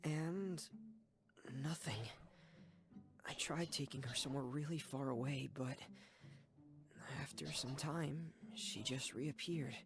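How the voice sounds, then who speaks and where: A man voicing a shy, quiet teenage boy who speaks in a hesitant, subdued way. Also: fairly high pitch, slow, pauses often. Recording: game cutscene audio with background music. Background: game music.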